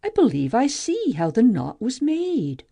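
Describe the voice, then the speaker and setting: A woman acts out a restless, wondering young heroine of a mythic play. The voice is soft-edged and slightly husky, with very lively melody. She muses aloud, full of curiosity. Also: on the high side, a little slow, exaggerated voice. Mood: wondering, eager. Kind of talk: amateur audiobook play reading, expressive.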